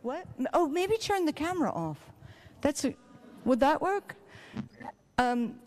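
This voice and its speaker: A woman in her forties moderating a conference panel with a handheld mic, lively and joking between questions. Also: sits high, a little slow. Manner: lively, chatty, joking asides. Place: large conference hall with audience. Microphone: handheld microphone. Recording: long hall reverb.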